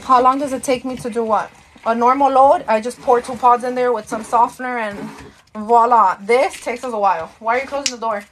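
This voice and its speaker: A woman's voice: on the high side, fairly even intonation, fairly quick, a bit bright, a little hiss or hum, rough recording. A woman, chatting casually as a live-stream host, thanking viewers and slipping into Spanish, a bit tired and out of breath. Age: 30s.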